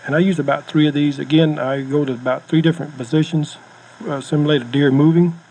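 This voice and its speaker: A man's voice: on the high side, fairly even intonation, fast, dark tone. Noisy cassette recording of American man instructing. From United States. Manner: plainspoken, folksy phrasing. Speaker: hunting instructor. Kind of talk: informal instructional narration. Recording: noisy home-style cassette tape recording.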